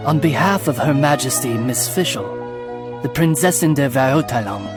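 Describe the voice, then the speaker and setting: A man's voice: medium pitch, animated, normal speed, music in the background, close to an everyday voice. A man voicing a loyal raven familiar in a video game, speaking as a dignified, deferential servant with elaborate formality. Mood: ceremonious. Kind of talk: video game character acting.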